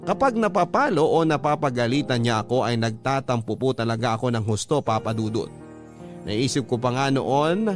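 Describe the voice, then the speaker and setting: A man with a Filipino accent reading a first-person letter as a radio story narrator, in a dramatic storytelling style. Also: mid-range voice.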